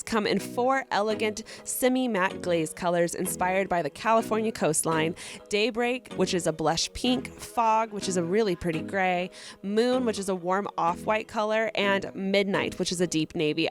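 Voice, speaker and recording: A woman's voice: medium pitch, normal speed, music in the background. A woman in her twenties co-hosting a casual chat podcast, laughing often, her voice a little worn. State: voice worn from a festival. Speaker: podcast co-host.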